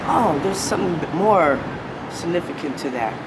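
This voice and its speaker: Halting fifty-something woman on a noisy street. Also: on the low side, expressive. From San Francisco Bay Area. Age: 50s. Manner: halting, earnest, with many fillers. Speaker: interviewee on the street. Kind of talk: casual street interview. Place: city street outdoors. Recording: loud street traffic noise.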